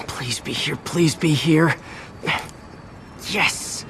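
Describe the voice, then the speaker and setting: A man's voice: fairly high pitch, very slow, creaky, noisy background, full character voice. An actor playing an earnest, awkward young superhero in a video game, hesitant and chatty as he mutters to himself. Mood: excited, muttering.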